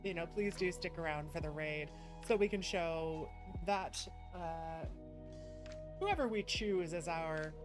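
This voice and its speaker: A woman's voice: fairly low pitch, slow pace, music in the background. A woman streamer chatting casually to her viewers in an affectionate, effusive and thankful manner. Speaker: streamer hosting a live stream. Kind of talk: casual livestream chat to viewers.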